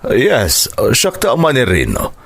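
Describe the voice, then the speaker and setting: An actor playing a worried, devout elderly husband and father in an Ilocano radio drama, consoling and halting in his emotional delivery. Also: mid-range voice, wildly swooping pitch, gravelly, a bit bright, full character voice. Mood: alarmed, anxious.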